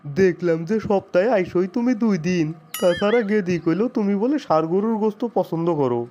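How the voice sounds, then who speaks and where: A man's voice: high voice, a little fast, mellow, lo-fi sound, faint music behind, natural voice. A man playing a hard-up middle-aged village father-in-law in a comedy cartoon, talking in a folksy, grumbling way with a Sirajganj Bangladeshi accent. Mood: genial.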